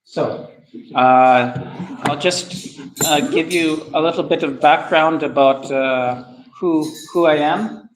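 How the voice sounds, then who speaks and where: A man's voice: fairly high pitch, slow, a little hiss or hum, poor audio. A man from Canada giving a casual travel talk to an audience, hesitant, with many fillers and restarts. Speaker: guest speaker at travel club.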